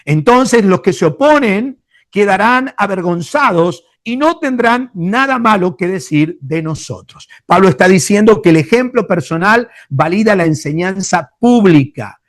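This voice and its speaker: High-voiced Rioplatense pastor, emphatic. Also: male voice, lively intonation, unhurried, no room sound, clean studio sound. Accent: Rioplatense Spanish. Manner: emphatic, addresses listeners fondly, frequent hesitations. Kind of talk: Bible teaching sermon.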